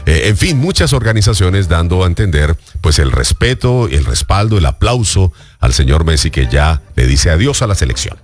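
Deep-voiced, animated male news host. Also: faint music behind. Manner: expressive, animated delivery with filler pauses. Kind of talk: conversational sports news segment.

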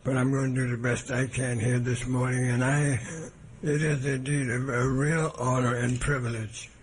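A hoarse man speaks at a measured, humble pace in a formal lecture, restarting now and then. His voice sits in an ordinary middle pitch, and a faint hiss runs under the archival recording. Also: flat delivery.

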